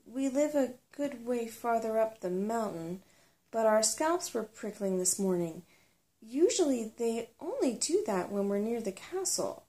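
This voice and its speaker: A woman's voice: fairly high pitch, animated, unhurried, mellow. Woman reading as a nervous little mountain dwarf. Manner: hesitant, nervous. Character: timid male mountain dwarf, nervous as a rabbit. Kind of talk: storybook character reading.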